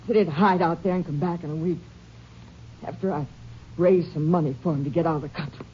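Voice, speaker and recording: A woman's voice: sits low, brisk, a little hiss or hum, lightly acted. A woman playing a scared young woman. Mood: frightened. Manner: pleading, anxious. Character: frightened young woman hiding an outlaw. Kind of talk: 1950s radio drama acting. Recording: dull old radio recording.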